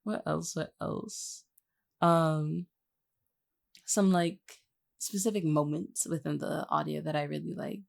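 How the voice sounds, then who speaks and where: A woman's voice: low-pitched, steady tone, slow pace, creaky, long pauses. A woman chatting casually as a podcast guest, rambling a little as she thinks aloud. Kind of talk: casual chat.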